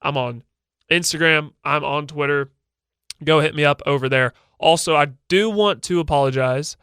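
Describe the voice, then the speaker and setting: A young man with a stuffy, slightly nasal voice from a head cold hosts his own sports radio show. He sounds confident and opinionated, with a fairly high, bright, smooth tone. The studio sound is dry and close. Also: moderate pace, dry recording. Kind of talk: solo sports talk show.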